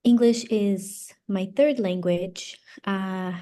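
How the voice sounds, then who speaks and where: A woman from Brazil, a native Portuguese speaker, giving casual interview answers and haltingly thinking aloud. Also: medium pitch, steady tone, dark tone. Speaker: interview guest, psychology doctoral graduate.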